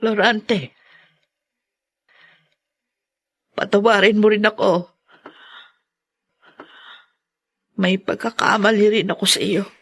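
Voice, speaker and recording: A remorseful older mother acted by a woman in an audio drama. The voice is husky and worn, and she speaks haltingly and contritely, as someone seeking to make amends. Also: medium pitch, steady tone, fairly bright tone.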